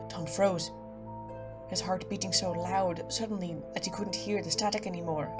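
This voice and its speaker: A woman playing an ominous, suspenseful narrator of a haunted-car horror story, reading in a deliberate, dramatic style. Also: lowish voice, pitched below the performer's own voice, lightly acted. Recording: music behind the voice.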